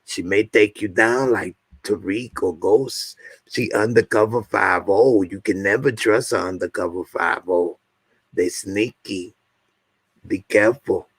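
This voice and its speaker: Joking man in his forties, low voice. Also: unhurried, husky, dry recording. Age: 40s. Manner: joking, repeats lines for emphasis. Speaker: YouTube show host. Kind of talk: casual chat to viewers.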